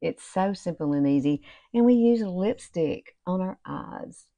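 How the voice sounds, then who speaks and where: A woman's voice: fairly low pitch, lively intonation, a little slow, warm tone, no room sound, lo-fi sound. A woman in her fifties with a Southern US accent, chatting casually and folksily as a beauty vlogger, as if to her girlfriends. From southern United States. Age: 50s. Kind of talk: casual chatty makeup tutorial. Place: small room at home, indoors.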